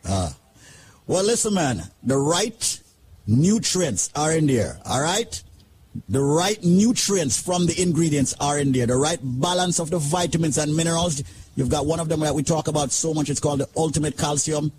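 A man hosting a radio call-in show, brisk and encouraging with his caller. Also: fairly high pitch, animated, a little mellow, husky. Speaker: radio host.

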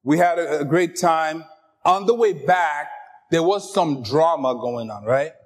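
A middle-aged man whose first language is French, preaching a lively, joking sermon and talking straight to the congregation. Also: fairly high pitch, unhurried. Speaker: pastor.

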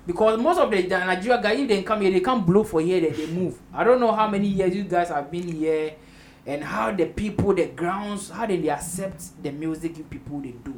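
A man's voice: sits high, a little fast, hardly any pauses. A man with a Ghanaian accent hosting a roundtable, chatting casually in Pidgin-flavoured speech and echoing what his guests say. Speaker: roundtable host. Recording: noisy room with ambient background.

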